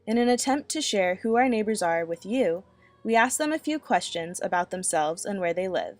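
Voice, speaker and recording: A young adult woman giving earnest, measured scripted narration. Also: mid-range voice, lively intonation, soft background music.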